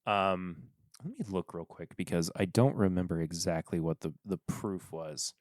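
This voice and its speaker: A man hosting a casual solo podcast from his desk at home, talking in a rambling, halting way that often stops and restarts. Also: low voice, darkish tone, no room sound, clean studio sound. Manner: rambling, halting, self-interrupting. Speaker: podcast host reviewing whiskey.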